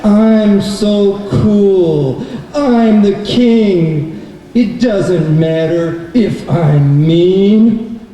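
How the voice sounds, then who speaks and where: A man voicing a vain, mean lion king, singing slow, drawn-out boasts for a children's puppet show. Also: high voice, mellow, pitched above the performer's own voice. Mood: smug boasting. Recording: echoey hall, distant mic, faint noise.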